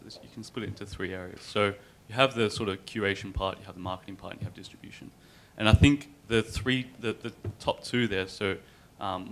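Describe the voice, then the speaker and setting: A man speaking as a panelist, explaining his points in a measured, structured way with many fillers. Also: lowish voice, a bit bright, slight background noise. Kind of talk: panel discussion.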